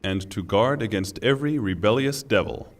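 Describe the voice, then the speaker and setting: A man reading a scripture translation in a formal, solemn and deliberate way. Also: on the low side, animated, long reverb tail. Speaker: reader of Quran translation.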